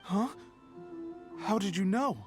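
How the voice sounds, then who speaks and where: A man's voice: high-pitched, slow, soft background music. A man playing a polite, nervous office clerk in a video game, apologetic, formal and hesitant. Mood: flustered.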